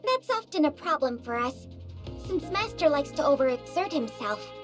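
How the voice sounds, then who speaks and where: A woman's voice: sits high, big pitch swings, moderate pace. An actress voicing an impatient, girlish young female soldier in a game cutscene. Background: game music.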